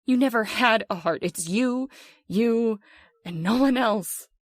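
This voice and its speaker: A woman playing a long-suffering middle-aged wife in a radio horror play. Her voice is high and very dry, close to the ear. She speaks with fearful, bitter intensity and pleading emotion. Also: slow, no room sound. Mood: bitter outburst. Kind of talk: old-time radio horror acting.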